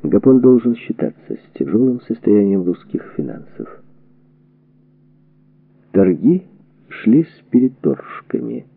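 A man reading a historical book as a scripted audiobook narration, in a measured, deliberate way with weighted pauses, on a narrow lo-fi recording. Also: medium pitch, expressive, gravelly, husky, dry recording. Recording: narrow phone-like band, lo-fi audio.

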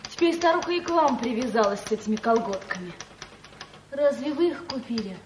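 A flippant, gossiping young secretary, played by an actress in a vintage radio comedy. Her voice sits fairly high, and she chatters on lightly. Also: expressive, fairly quick, background noise, lightly acted. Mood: chatty. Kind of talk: 1970s studio radio comedy acting.

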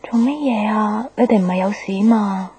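An actress playing a gentle, concerned young woman in a radio drama, with a Hong Kong Cantonese accent. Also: medium pitch, moderate pace, background noise, lightly acted. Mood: puzzled, concerned. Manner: soft-hearted, concerned. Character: gentle, caring young woman on a late-night call. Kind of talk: naturalistic radio drama acting.

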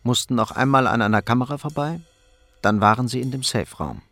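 A man's voice: medium pitch, a little fast, fairly bright tone. Casting: male narrator for radio thriller, mid-range voice, scripted storytelling, faint guitar music underneath.

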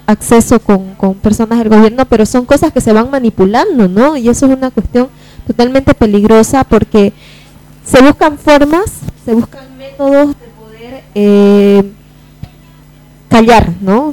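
Mellow, higher-pitched woman on a radio panel. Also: poor audio. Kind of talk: live radio discussion. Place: radio studio.

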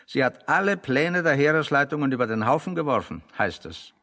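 A man acting in a German radio play as a pedantic, ironic intellectual, speaking deliberately and mock-seriously. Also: mid-range voice, expressive, fairly bright tone, in a large hall.

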